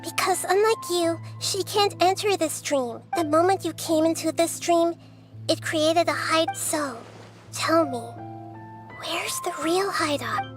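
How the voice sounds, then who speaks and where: An actress voicing a wise, kindly young girl deity in a video game, measured, earnest and gently didactic. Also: very high voice, music in the background. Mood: earnest, explaining.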